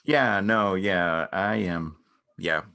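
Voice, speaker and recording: A man acting a low-voiced, gentle, awkward man. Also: slow, vocal fry, exaggerated voice. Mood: awkward, friendly. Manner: hesitant, repeats himself, understated. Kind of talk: dramatic reading of a stage play dialogue.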